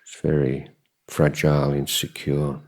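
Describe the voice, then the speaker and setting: A man giving a guided meditation talk as a Buddhist teacher, contemplative and measured, pausing often between short phrases. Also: a bass voice, completely flat delivery, darkish tone, slight background noise.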